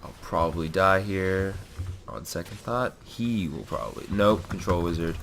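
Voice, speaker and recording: A young man with a low, deep voice that sounds stuffy and nasal from a cold. He rambles through casual commentary on his own gameplay, filling the gaps with "uh" and "you know." Faint keyboard tapping sits behind him at the desk. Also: moderate pace, a bit bright, a little hiss or hum. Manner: rambling, frequent fillers and self-corrections. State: has a cold.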